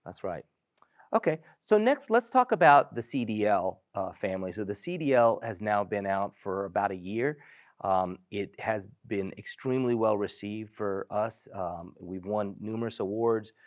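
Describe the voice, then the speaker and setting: Low-voiced man hosting, in a dry room. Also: lively intonation, normal speed, no echo. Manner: enthusiastic, leads the conversation. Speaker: host. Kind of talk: product discussion.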